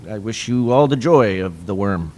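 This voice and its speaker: A man's voice: on the low side, expressive, normal speed, darkish tone, big-room sound. A man playing a chatty, hesitant rustic countryman with a homely, droll manner, in classical Shakespearean stage style. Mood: droll, cautionary. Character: rustic countryman, chatty and cautionary snake-bearer.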